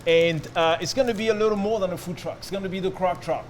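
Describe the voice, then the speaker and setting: High-voiced French chef, noisy background. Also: male voice, fast. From France. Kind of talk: casual cooking demonstration. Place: restaurant-style kitchen. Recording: noisy kitchen ambience.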